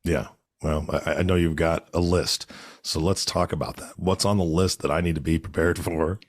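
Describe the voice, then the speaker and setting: A man in his late forties co-hosting a podcast, upbeat and bantering, his voice low and worn from illness. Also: fairly even intonation, moderate pace, no room sound, clean studio sound. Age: 40s. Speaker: podcast co-host. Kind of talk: casual podcast chat.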